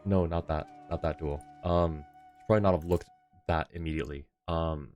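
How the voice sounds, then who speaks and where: A man's voice: a bass voice, fairly even intonation, fairly quick, darkish tone. A man casually chatting and commentating on a game livestream, thinking aloud in a rambling way. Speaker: game streamer and host. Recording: music playing behind the voice. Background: game music.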